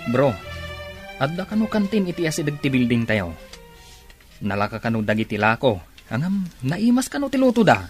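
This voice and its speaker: A man's voice: mid-range voice, big pitch swings, moderate pace, long pauses, faint music behind, lightly acted. A young man acting a chummy workmate in an Ilocano radio drama. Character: chummy young workmate, keen on food and girls.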